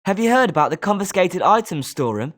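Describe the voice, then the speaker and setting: High-pitched smug schoolboy wizard. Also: male voice, very animated, quick pace. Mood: informative. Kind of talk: scripted video game voice lines.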